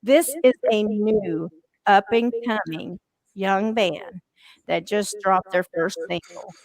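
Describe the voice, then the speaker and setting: Brief: woman host, unhurried, gushing and chatty, dry close sound. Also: medium pitch, dry recording, low-quality recording. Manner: chatty, gushing, laughs often, trails off mid-sentence. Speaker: podcast host interviewing a band. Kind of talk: casual live-stream interview chat.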